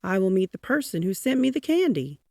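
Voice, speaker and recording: Woman reading as kindly, emphatic storybook gentleman. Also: medium pitch, very animated, fairly quick, no room sound, clean studio sound. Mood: hopeful. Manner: polite, earnest, emphatic. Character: shy lonely man turned cheerful and kindly.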